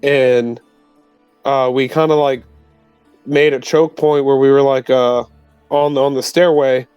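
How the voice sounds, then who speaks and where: A man playing a tabletop roleplaying game, speaking casually in character and rambling haltingly. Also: medium pitch, little pitch movement, a little mellow, smooth, dry recording, faint music behind.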